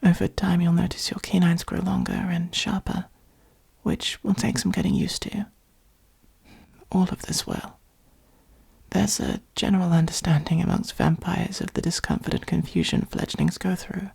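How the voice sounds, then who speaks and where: A woman playing a gentle, protective vampire in a comforting ASMR roleplay, whispering softly and breathily with patient reassurance. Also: on the low side, steady tone, normal speed, exaggerated voice. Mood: patient explaining. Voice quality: breathy, creaky. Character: gentle, protective adult vampire caring for a fledgling.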